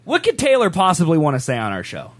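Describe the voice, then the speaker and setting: A man's voice: on the high side, wildly swooping pitch, quick pace. A man co-hosting a podcast, chatting casually and teasing his co-host in a joking way. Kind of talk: casual banter.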